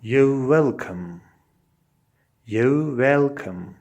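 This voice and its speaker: A man reading short English phrases aloud as a language teacher, saying them deliberately as separate, repeated phrases. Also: medium pitch, expressive, a little mellow, dull audio.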